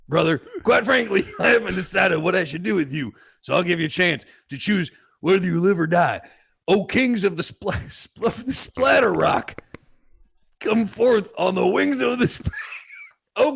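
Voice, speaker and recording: Man putting on a gravelly gloating evil sorcerer. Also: sits high, fairly bright tone, heavily acted. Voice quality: gravelly, husky. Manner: taunting, theatrical, drawn-out menace. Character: gloating evil male sorcerer with pro-wrestler bravado.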